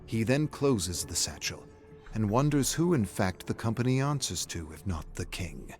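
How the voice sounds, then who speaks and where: A man's voice: lowish voice, very animated, normal speed, a little mellow. A man reading scripted, dramatic story narration in the manner of an audiobook.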